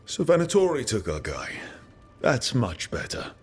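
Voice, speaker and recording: An actor playing an earnest, lightly wry adventurer in a video game, casual and full of questions. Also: male voice, medium pitch, wildly swooping pitch, a little slow, slightly rough, soft background music, heavily acted. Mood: curious, wry.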